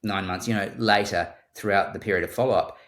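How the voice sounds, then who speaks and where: A man's voice: on the low side, fairly even intonation, fairly quick, fairly bright tone. A man with a New Zealand accent, a guest surgeon speaking in a thoughtful conversational interview and often repeating words as he starts a sentence. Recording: roomy echo.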